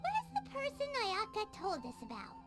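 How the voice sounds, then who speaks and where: A tiny floating fairy companion from a video game. The voice is childlike, extremely high and chirpy, bouncing wildly in pitch as it chatters with curiosity. Loud music plays behind it. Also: female voice, huge pitch swings, normal speed, exaggerated voice. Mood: chatty. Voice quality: squeaky, childlike. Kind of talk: video game character acting.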